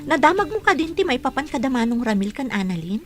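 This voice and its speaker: Higher-pitched, gossipy young Filipino woman. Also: very animated, fast talker, faint music behind. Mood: concerned, insistent. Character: gossipy, sympathetic young woman bringing news to a friend. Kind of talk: radio drama acting.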